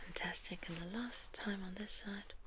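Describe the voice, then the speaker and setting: A woman with a South African accent speaking in a soft, breathy whisper, giving gentle, reassuring instructions in an ASMR medical roleplay. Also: medium pitch, moderate pace, very noisy. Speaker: ASMR creator playing a medical examiner.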